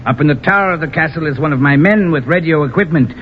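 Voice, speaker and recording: A smooth, scheming village leader played by a male actor in a vintage radio adventure. He speaks formally and with measured theatrical poise. The recording is muffled and faintly noisy. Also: a little high, natural voice. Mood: smug, menacing. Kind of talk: old-time radio drama acting.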